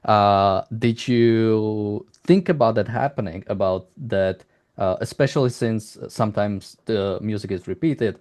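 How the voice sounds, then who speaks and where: A man interviewing a developer in a conversational style, with halting, hesitant phrasing. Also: lowish voice, darkish tone.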